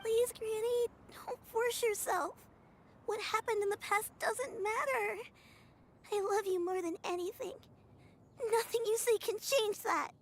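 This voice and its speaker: A woman's voice: very high voice, fairly even intonation, a little slow. A woman voicing a devoted young granddaughter in a video game, earnest, anxious and loving. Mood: loving, reassuring. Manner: earnest, pleading. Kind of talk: dramatic video game voice acting.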